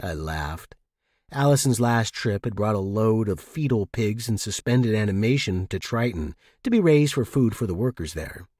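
A man hosting and narrating fiction in a slightly husky voice. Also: fairly low pitch, expressive, normal speed, a little mellow, no echo, studio quality. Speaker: podcast host and narrator. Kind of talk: scripted narration.

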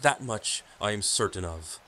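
An actor playing a solemn, stern hermit keeper of a sacred order, speaking formally in an audio drama. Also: male voice, on the low side, animated, normal speed, pushed and bright. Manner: grave, portentous, formal.

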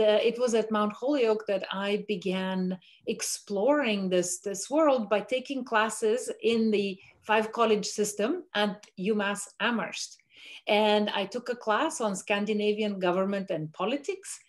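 Thoughtful Bulgarian-born woman on a video call. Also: mid-range voice. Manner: thoughtful, measured, with frequent fillers. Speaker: professor, interview guest. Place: home room with window blinds.

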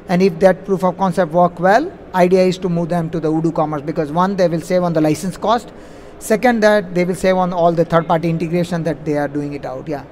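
A man's voice: high-pitched, a little mellow. A man answering questions as a conference speaker on stage, talking wordily and padding sentences with a habitual "like, you know". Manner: wordy, repeats "like, you know" as a verbal habit. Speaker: conference speaker, software implementation consultant. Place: on stage in a large conference hall. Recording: faint background noise, live event.

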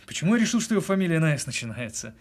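A husband in an audio drama, husky voice, halting, keeps justifying himself. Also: male voice, a little high, big pitch swings, exaggerated voice. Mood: earnest, self-justifying. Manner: halting, pausing mid-confession. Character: evasive, self-justifying husband confessing to his partner. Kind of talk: naturalistic audio drama with murmured inner monologue.

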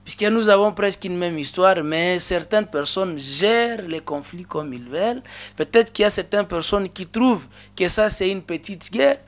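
A man's voice: high-pitched, normal speed. A man in his thirties with a Congolese French accent, an interview guest in a small studio, speaking earnestly at a desk microphone with many restarts. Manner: earnest, with frequent restarts and hesitations. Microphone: desk microphone on a boom arm.

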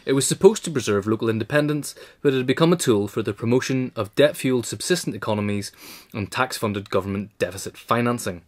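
A man reading a written biographical essay in a measured, formal way. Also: medium pitch. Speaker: narrator, reader. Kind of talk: scripted narration of a biographical essay.

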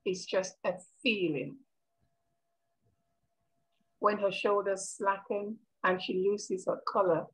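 A middle-aged woman reading a gentle, reflective Jamaican daughter's monologue into a headset. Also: on the low side, gravelly, rough recording. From Caribbean. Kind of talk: rehearsed play monologue reading. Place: kitchen at home, video call.

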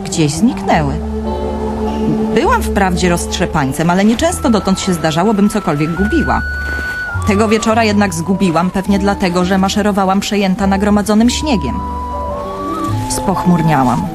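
An actress playing a wry younger sister who narrates a radio play. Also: female voice, medium pitch, expressive, normal speed, over music, lightly acted. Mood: reflective. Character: wry, girlish younger sister telling her story.

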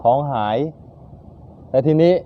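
A man telling a bawdy comic short story in casual, colloquial, halting phrases. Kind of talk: casual humorous storytelling chat. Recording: muffled, dull lo-fi recording.

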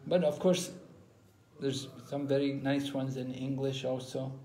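An older man giving an unhurried online scripture class over a video call from a room at home, explaining with pauses and restarts. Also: medium pitch, little pitch movement, warm tone, slight background noise. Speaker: spiritual teacher. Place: room at home with ceiling fan. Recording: echoey room.

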